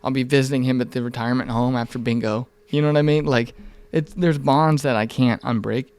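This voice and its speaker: A man's voice: medium pitch, steady tone, fairly quick, darkish tone, clear, resonant tone. A man in his mid twenties chatting candidly on a podcast in an informal, rambling, earnest way, with some swearing. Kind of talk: casual, candid podcast conversation.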